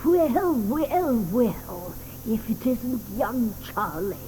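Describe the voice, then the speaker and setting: A frail, ancient grandmother: doddery, sharp-tongued and quavering, pitched a little high. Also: very animated, extremely slow, a little hiss or hum, exaggerated voice, rough recording. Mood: sly. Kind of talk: 1980s studio radio play acting.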